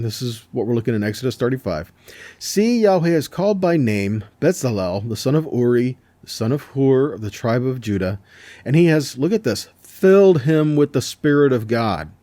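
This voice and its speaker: A man's voice: mid-range voice, mellow, dry recording. A man giving an informal Bible teaching, conversational but emphatic, reading verses and checking in with rhetorical questions.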